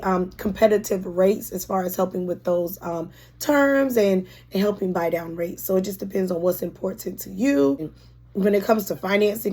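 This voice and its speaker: A woman real estate agent talks through financing choices in a relaxed, conversational way. Her voice is hoarse, and she pauses often with ums and restarts. She sounds friendly and down to earth. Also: mid-range voice. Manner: chatty and warm, with frequent ums and restarts. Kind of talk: casual explainer video.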